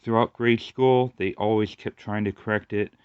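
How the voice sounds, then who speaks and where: A man with a noticeable lateral lisp talks in a low, rambling voice and keeps restarting his sentences. The recording is dry and a bit dull. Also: fairly even intonation, smooth, no room sound. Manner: rambling, halting, many restarts and fillers. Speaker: YouTuber. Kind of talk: casual personal monologue.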